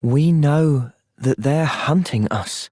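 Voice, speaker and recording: A man playing an earnest, gentle, resolute young soldier in video game cutscenes. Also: fairly high pitch, slow pace, breathy edge, no echo, studio quality. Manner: earnest, thoughtful. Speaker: voice actor.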